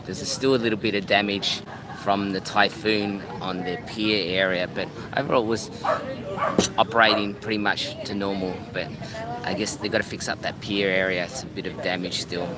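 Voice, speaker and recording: A man's voice: lowish voice, steady tone, normal speed, a crowd in the background. A man chatting casually on a live stream from a beachside restaurant, often repeating words as he restarts his sentences. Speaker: travel vlogger. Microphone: phone live-streaming. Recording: outdoor traffic noise. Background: street and vehicle noise.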